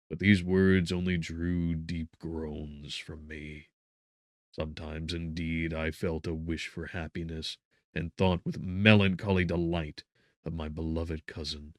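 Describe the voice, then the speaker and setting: Guy doing a tormented gothic gentleman narrator, really low voice, dry close sound, brooding and theatrical, hushed then swelling. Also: dry recording, exaggerated voice. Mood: melancholy. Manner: theatrical, swelling from hushed to forceful, with drawn-out words. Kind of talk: dramatic gothic audiobook narration.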